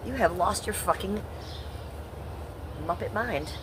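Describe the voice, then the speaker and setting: A woman's voice: medium pitch, slow, background noise. A middle-aged woman from Ohio, talking bluntly and sarcastically to her chat on a livestream, swearing freely. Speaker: livestream host.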